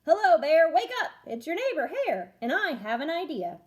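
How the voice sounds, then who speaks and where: A woman's voice: high-pitched, big pitch swings, moderate pace, voice pushed up. A woman voicing a clever, eager male hare from a children's storybook, coaxing and exclaiming like a salesman. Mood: loud, rousing. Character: clever, eager male hare, fast-talking trickster neighbor.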